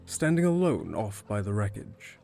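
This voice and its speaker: A man's voice: fairly low pitch, sing-song, normal speed, vocal fry, mellow, soft background music. A man reading scripted narration for an audio drama.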